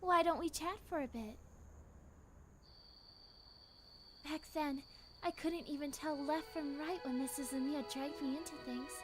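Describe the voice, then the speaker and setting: A woman playing a gentle young time traveller in an anime fan dub, patiently explaining and reassuring. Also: sits high, moderate pace, natural voice. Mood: wistful, warm. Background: background music.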